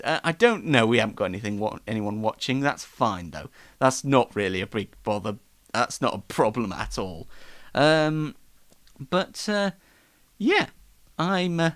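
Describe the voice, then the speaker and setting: A man's voice: medium pitch, a bit bright. A man hosts an online show in a casual, rambling, self-deprecating live monologue.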